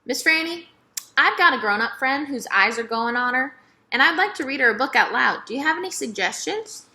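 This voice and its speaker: A woman's voice: sits high, expressive, fairly quick, pushed and bright, pitched above the performer's own voice, full character voice. A woman voicing a curious, earnest young girl from a storybook, eager and polite. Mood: politely curious.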